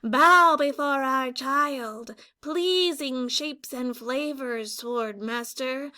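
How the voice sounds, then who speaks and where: A woman's voice: high-pitched, drawn-out words, pushed and bright, full character voice. A woman voicing pompous, boastful dinosaur-shaped chicken nuggets in a storybook, in a declamatory manner. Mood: haughty.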